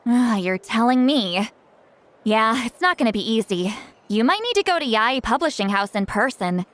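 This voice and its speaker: A woman voicing a proud, grumpy, easily drained female shaman in a video game, with a haughty, expressive delivery. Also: fairly high pitch, moderate pace. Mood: weary, casual.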